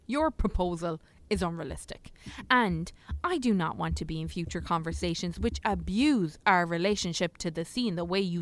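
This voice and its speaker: A woman's voice: fairly low pitch, no room sound. A woman acting a sceptical, indignant parliament delegate in a radio play, formal and challenging.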